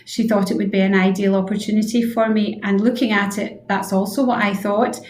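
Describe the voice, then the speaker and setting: A woman's voice: mid-range voice, little pitch movement, brisk, a little mellow, slight background noise. A Scottish woman of about sixty answering conversationally as a guest in a radio interview. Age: around 60. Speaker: interview guest, head physiotherapist. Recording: roomy, echoing room sound.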